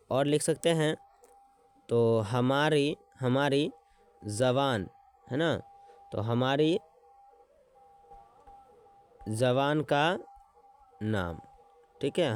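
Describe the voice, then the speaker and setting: A man teaching an exam-prep lesson, repeating words slowly as he writes them out and checking in with his students as he goes. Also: mid-range voice, lively intonation, slight background noise. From Bihar.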